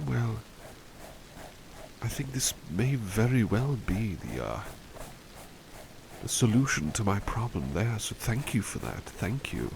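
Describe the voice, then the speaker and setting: A man acts as a genteel, relaxed butler in an ASMR roleplay, musing politely and hesitantly. His low voice is slow and creaky, with steady rain noise behind it. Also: full character voice. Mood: pleased, gentle. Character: relaxed, courteous male butler who paints. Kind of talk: ASMR roleplay, one-sided chat with listener.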